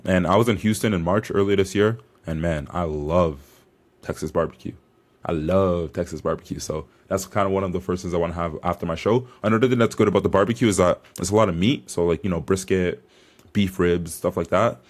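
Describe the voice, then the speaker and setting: Low-voiced young man, slangy and hyped. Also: a little fast. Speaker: bodybuilder vlogger. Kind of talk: casual chat to camera.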